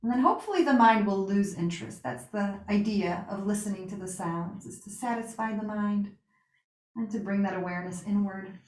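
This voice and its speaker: A woman guiding a relaxation meditation with gentle spoken instruction, pausing and reassuring in a soothing way. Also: mid-range voice. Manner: unhurried pauses, soothing reassurance. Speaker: yoga nidra meditation guide. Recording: roomy, echoing sound.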